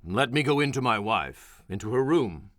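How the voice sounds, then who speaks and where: A man playing a strong, wrathful biblical hero in a radio-style dramatization, speaking in a forceful, declamatory way. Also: medium pitch, animated, moderate pace, dry recording, studio quality, close to an everyday voice. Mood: determined.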